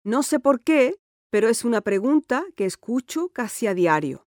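A woman's voice: a little high, big pitch swings, moderate pace, darkish tone, no echo, clean studio sound. A woman voicing an eager teenage schoolgirl. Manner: eager, chatty and confident. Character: cheerful sporty sixteen-year-old schoolgirl. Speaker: interviewee in an exam listening dialogue. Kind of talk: scripted dialogue for a listening test.

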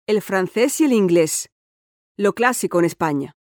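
A confident, sporty teenage girl , voiced by a woman, who talks eagerly about school and books. Her pitch sits in an ordinary middle range. The studio recording is dry and close. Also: lively intonation, normal speed, darkish tone, dry recording, close to an everyday voice. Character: cheerful sporty sixteen-year-old schoolgirl. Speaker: interviewee in an exam listening dialogue. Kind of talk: scripted dialogue for a listening test.

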